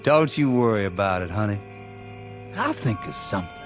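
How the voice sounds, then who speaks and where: An actor playing an easygoing young country fiancé, folksy and soothing, in a radio drama. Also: male voice, fairly low pitch, expressive, moderate pace, over music, close to an everyday voice. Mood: coy, soothing. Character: easygoing young country husband-to-be with secretive calm.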